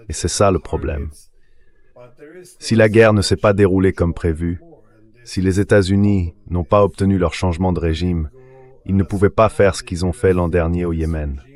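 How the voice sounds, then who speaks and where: Deep-voiced male political analyst. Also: darkish tone. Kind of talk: explanatory geopolitical commentary.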